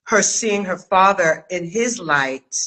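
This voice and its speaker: A woman hosting a casual interview chat, talking in an expressive, emphatic way. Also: fairly low pitch, takes it slowly. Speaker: host, acting coach and writer. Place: remote video call.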